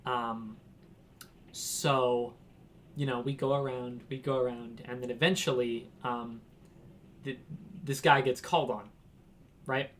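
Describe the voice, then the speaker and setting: A man's voice: medium pitch, fairly even intonation, slow pace, pauses often, a bit bright, a little hiss or hum. A young man from Washington telling a casual, rambling story, laughing and acting out quotes. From Washington, United States. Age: young adult. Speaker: vlogger.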